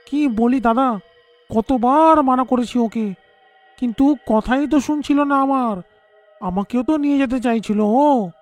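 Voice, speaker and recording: A man playing a worried, earnest younger village man in an animated horror story. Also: very high voice, measured pace, soft background music.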